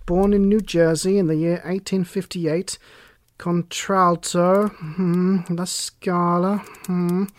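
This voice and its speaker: A man reads as a languid detective in an audiobook story. He is dry, clipped and sure of himself, never hurried. The recording is dry, with little room sound. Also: sits high, no echo. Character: languid, dry-witted, self-assured male detective. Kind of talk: audiobook character reading.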